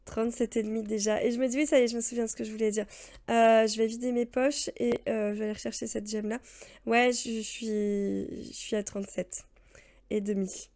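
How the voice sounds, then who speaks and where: A woman in her late thirties streams a game and chats loosely with her viewers, wandering between topics with plenty of fillers and laughter. Her voice sits a little high but has a soft, mellow tone. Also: level tone, a little fast. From France. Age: 30s. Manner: rambling, chatty, laughs often, answers chat. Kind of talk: casual let's-play chat.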